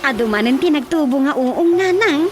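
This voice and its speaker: Actress as Rosa, high-voiced earnest daughter. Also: female voice, expressive, moderate pace. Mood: earnest wonder. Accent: Ilocano. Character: Rosa, credulous young village daughter. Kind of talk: Ilocano radio drama acting. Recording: music bed under voice.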